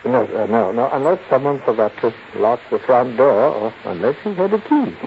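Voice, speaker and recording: An actor playing a fussy, prim, nervous art dealer, genteel and fluttery, in a 1950s radio drama. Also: male voice, medium pitch, lively intonation, moderate pace, close to an everyday voice. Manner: fluttery, genteel, hesitant, chuckling. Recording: dull, noisy 1950s radio recording.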